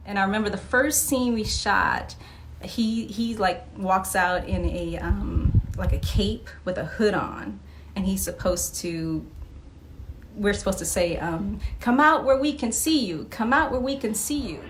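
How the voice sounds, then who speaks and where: A woman chatting casually as a podcast guest, telling personal anecdotes in an expressive way. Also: medium pitch, measured pace, slight background noise, low-quality recording. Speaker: interview guest. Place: live podcast taping.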